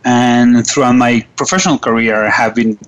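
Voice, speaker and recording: A man's voice: mid-range voice, steady tone, moderate pace, fairly bright tone, dull audio. Earnest man. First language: Spanish. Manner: earnest, often restarts and repeats words. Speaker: radio show host. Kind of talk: casual motivational radio talk.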